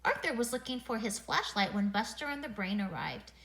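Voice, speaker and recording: Bright, animated woman reading a children's storybook. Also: fairly high pitch, fairly quick, creaky. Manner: expressive, acting out the dialogue. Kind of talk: animated read-aloud of a children's picture book.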